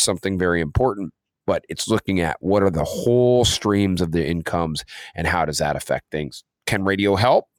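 A man's voice: low-pitched, no room sound. A man co-hosting a casual discussion, agreeable and conversational as he reasons through his points aloud. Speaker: podcast co-host. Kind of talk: casual music industry discussion.

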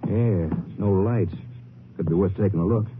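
An actor playing a dutiful, alert Texas Ranger sidekick, terse and American, in an old radio western. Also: male voice, low voice, moderate pace, noisy background, close to an everyday voice. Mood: watchful. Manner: terse, plain-spoken. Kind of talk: 1950s western radio drama acting. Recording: tinny 1950s radio recording, loud music.

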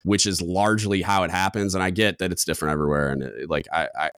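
A man co-hosting a podcast in casual discussion, with a deep booming voice, talking loud and coming on strong, with many restarts and fillers. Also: steady tone, quick pace, smooth, dry recording, clean studio sound. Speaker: podcast co-host.

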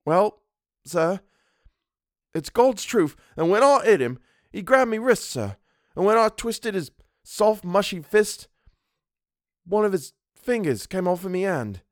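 Young Cockney manservant, high-pitched, animated, deferential, sheepish, indignant, storybook character. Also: male voice, a little slow, long pauses, no echo, studio quality. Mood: uneasy. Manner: colloquial, deferential, full of sirs.

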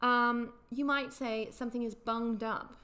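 A woman's voice: fairly high pitch, fairly even intonation, unhurried, sharp tone. A woman co-hosting a casual chat, explaining slang with frequent laughter. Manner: laughs often, explains patiently. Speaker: podcast co-host.

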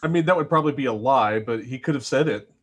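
A man's voice: mid-range voice, fast talker. A man in casual conversation with a teasing manner. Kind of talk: casual chat.

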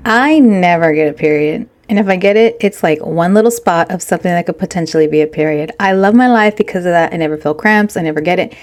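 A woman's voice: fairly low pitch, quick pace, hardly any pauses. A woman co-hosting a podcast in casual chat, candid and switching between English and Spanish. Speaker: podcast co-host.

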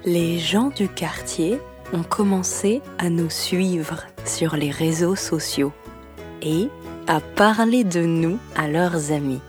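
A woman recounting her own story slowly and deliberately, as a podcast guest. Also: fairly low pitch, faint music behind. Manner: slow and deliberate, for learners. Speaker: story guest speaking in French.

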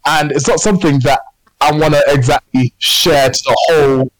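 Guy, podcast co-host, chatty storyteller, higher-pitched, very dry mic. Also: normal speed, no room sound, poor audio. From England. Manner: animated anecdote-telling, lots of fillers and repeated phrases. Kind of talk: casual storytelling chat.

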